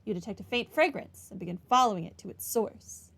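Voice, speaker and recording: A woman's voice: mid-range voice, lively intonation, fairly quick, fairly bright tone. A woman hosting a game playthrough, giving casual commentary with wry, sarcastic asides. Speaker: video game playthrough host. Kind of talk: casual game commentary, reading dialogue aloud.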